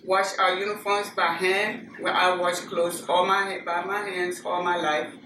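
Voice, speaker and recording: A middle-aged woman from Ghana with a Ghanaian accent, recalling her childhood in a measured, plain way with frequent fillers. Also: lowish voice. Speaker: interviewee. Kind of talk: oral history interview. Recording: echoey room, distant mic, noisy.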